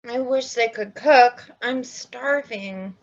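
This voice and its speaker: A woman's voice: a little high, slow pace, dull audio. A woman playing a hungry, complaining young hobbit in a script table read. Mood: grumbling.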